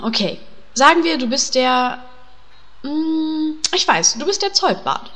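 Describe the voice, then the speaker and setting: A woman's voice: sits high, sing-song, slow, pushed and bright, in a large hall, heavily acted. A woman playing a bossy, sarcastic guild leader in a comedy audio drama, blunt and commanding. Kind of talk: German audio drama parody.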